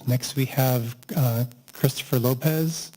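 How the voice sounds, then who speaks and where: Mellow-voiced male meeting clerk. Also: mid-range voice, slow pace, slight background noise. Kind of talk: procedural announcements.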